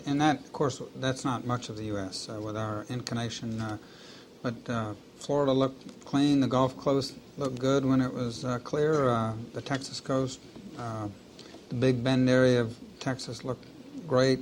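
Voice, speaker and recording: A man's voice: medium pitch, flat delivery, measured pace. A man, an astronaut, answering a question at a news conference. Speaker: astronaut, crew member. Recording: hissy archival 1980s press conference audio.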